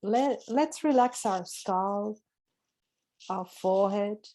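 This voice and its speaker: Slow, calm woman guiding relaxation. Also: mid-range voice. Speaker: guest speaker leading a guided meditation. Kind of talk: slow guided relaxation instructions. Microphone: webinar video call.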